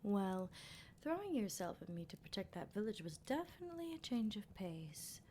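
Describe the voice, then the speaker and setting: A woman playing a teasing, sardonic female vampire captor in an ASMR roleplay, speaking in an unhurried, breathy half-whisper. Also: mid-range voice, very animated, mellow, a little hiss or hum, close to an everyday voice. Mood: teasing menace. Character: playful, menacing female vampire captor, decades old.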